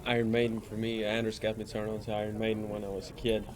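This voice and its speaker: A man's voice: lowish voice, completely flat delivery, quick pace. A man in his twenties, a rock band member, chatting casually in an interview. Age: 20s. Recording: busy crowd background.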